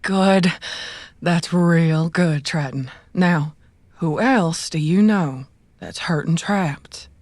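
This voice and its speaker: A soothing but calculating female handler in a cyberpunk audio drama. She coaxes slowly in a lowish, folksy voice, recorded dry. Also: a little mellow, no room sound. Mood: soothing, coaxing.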